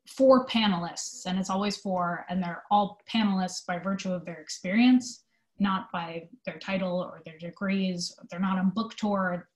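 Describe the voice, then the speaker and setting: A woman giving earnest, thoughtful interview answers in casual, conversational phrasing. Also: medium pitch. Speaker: theater company manager being interviewed. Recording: echoey room sound.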